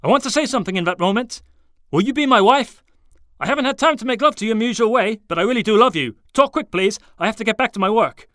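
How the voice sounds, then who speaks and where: A man acts out a storybook stockbroker boss, brusque and distracted. His voice is pushed high and swings sharply, with urgent, clipped, impatient phrases that come fast. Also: expressive, fairly bright tone, no room sound, clean studio sound. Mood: urgent, pleading. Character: hurried, distracted, brusque male New York stockbroker boss.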